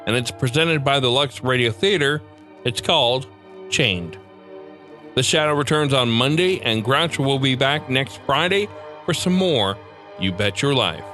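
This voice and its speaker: A man's voice: medium pitch, lively intonation, a little slow, sharp tone. A man hosting a podcast, reading a scripted sign-off. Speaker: podcast host. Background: faint music.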